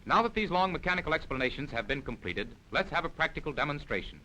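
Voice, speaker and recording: A man's voice: on the high side, sing-song, quick pace, bright, forceful tone, poor audio. A man reading scripted narration for a 1940s military instructional film in a formal, precise, explanatory manner.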